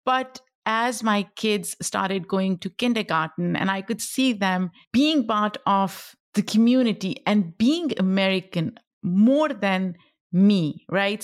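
A woman's voice: mid-range voice, no echo, studio quality. A middle-aged woman with a Pakistani accent and Pashto as her first language, talking reflectively about her own life as a podcast guest. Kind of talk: reflective personal interview talk.

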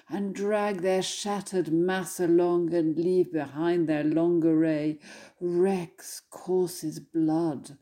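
A woman's voice: fairly low pitch, dark tone. A woman scholar giving a literary introduction and poetry reading in an articulate manner.

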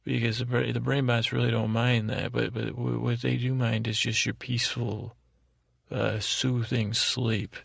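A male podcast host with a creaky, gravelly voice, rambling haltingly through a whimsical, self-deprecating bedtime monologue in a deliberately dull drone. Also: medium pitch, little pitch movement, fairly bright tone, no echo, clean studio sound.

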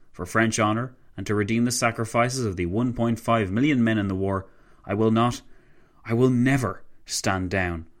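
A man's voice: mid-range voice, natural voice. A man acts out a French marshal in a historical tale. He is proud and imperious, declaiming with cold ambition and open menace. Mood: fervent, defiant. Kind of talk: dramatised historical narration.

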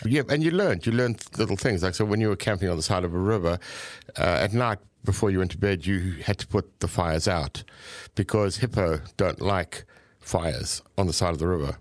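Male podcast guest, southern African accent, low-pitched, conversational storyteller, close dry recording. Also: moderate pace, few pauses, dry recording. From Zambia and South Africa.

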